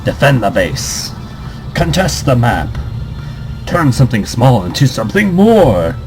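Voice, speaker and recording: A man's voice: mid-range voice, sing-song, unhurried, over music. A man doing casual let's play commentary, reading game text aloud and breaking off into rambling asides. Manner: rambling, self-interrupting asides. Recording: noisy amateur home recording.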